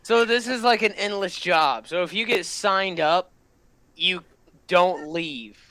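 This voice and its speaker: A man giving casual, excitable reaction commentary as a host, often bursting into exclamations. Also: sits high, slow, no echo.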